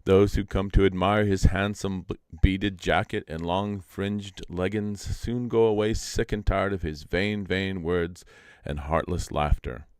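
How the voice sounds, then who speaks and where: A man reading a story aloud live as a storyteller, in a measured, deliberate and expressive way. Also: sits low, smooth, dry recording, clean studio sound.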